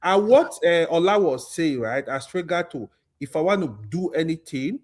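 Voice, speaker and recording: A man speaks with an animated voice that jumps around in pitch and sits fairly high. He speaks emphatically in a heated discussion, adding weight to another speaker's point. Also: normal speed. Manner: animated, emphatic, backs up others.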